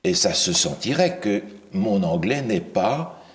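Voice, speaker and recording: A man preaching a Bible study, asking the listeners questions and pausing on fillers and restarts. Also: on the low side, very animated. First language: not English. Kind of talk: interactive Bible teaching.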